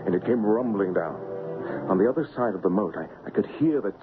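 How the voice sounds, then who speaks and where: Guy playing earnest adventure hero on old radio, lowish voice, tinny narrow sound. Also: expressive, a little fast, music in the background, lightly acted. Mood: suspenseful narration. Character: brave resolute swordsman hero recounting his adventure. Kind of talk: radio drama acting with first-person narration. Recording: thin narrow-band old radio recording.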